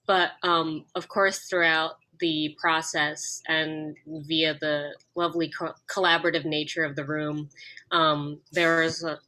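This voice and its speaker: A woman actor recalling her work on a panel, chatty and lively over a video call. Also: deep voice, low-quality recording. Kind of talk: panel discussion answers.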